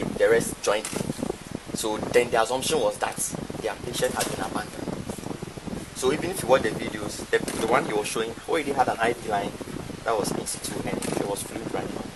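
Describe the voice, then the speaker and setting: A man, a hospital staff member, giving a measured, halting spoken account in conversation. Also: lowish voice, steady tone. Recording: very loud ambient background noise.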